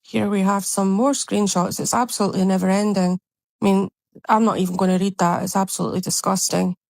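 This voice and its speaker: A woman's voice: mid-range voice, steady tone, fairly quick, creaky, dry recording. A woman narrating over screenshots in an indignant, condemning tone. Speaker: commentary narrator.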